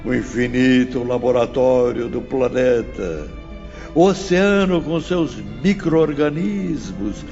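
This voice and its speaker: A man's voice: mid-range voice, vocal fry, darkish tone. An actor playing a solemn, awestruck male spirit teacher with a grand, declamatory manner in an audiobook. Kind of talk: dramatized audiobook lecture. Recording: muffled, long hall reverb, faint music.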